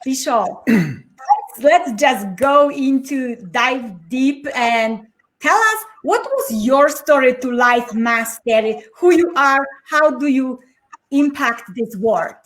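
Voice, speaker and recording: Female talk host, high and unhurried, enthusiastic and effusive. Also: lively intonation, fairly bright tone. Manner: enthusiastic, gushing welcome. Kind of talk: live interview show.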